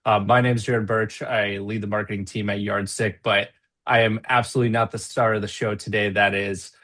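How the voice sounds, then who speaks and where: A man's voice: lowish voice, flat delivery, a little fast, fairly bright tone. A man hosting a webinar over a video call, chatty and upbeat with plenty of fillers. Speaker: webinar host.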